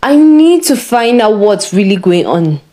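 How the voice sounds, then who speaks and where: A woman storyteller voicing a sharp-minded young female student, calm and probing. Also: medium pitch, measured pace. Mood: suspicious.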